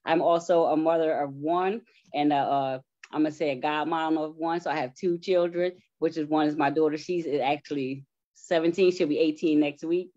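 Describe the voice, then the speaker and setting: A woman's voice: sits low, steady tone, normal speed, dark tone. Middle-aged woman guest, dull lo-fi audio. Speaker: interview guest, stage play cast member. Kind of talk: casual self-introduction and chat.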